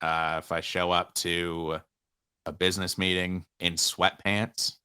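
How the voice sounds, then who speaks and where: Low, bright-voiced young man. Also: level tone, takes it slowly, smooth, no echo, studio quality. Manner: easygoing, playful teasing. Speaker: podcast co-host. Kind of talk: casual sports podcast chat.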